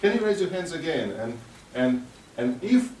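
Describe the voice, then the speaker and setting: Halting male conference speaker in echoey hall. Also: on the high side, very animated, darkish tone, recorded from a distance. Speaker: speaker addressing a youth conference. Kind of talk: motivational speech with audience questions. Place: large echoing hall at a conference ceremony. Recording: echoey hall from afar, background noise.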